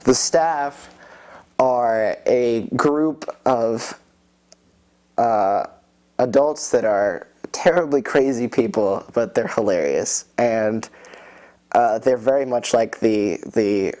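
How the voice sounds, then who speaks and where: A man's voice: mid-range voice, slow, darkish tone. A young man speaking as a youth theatre member in a documentary interview.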